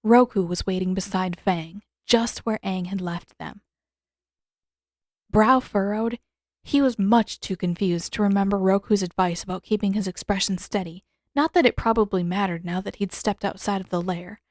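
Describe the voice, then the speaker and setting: A woman narrates a scripted story. Her voice is mid-pitched, and the recording is very dry, with no room sound. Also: normal speed, darkish tone, no echo. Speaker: audiobook narrator.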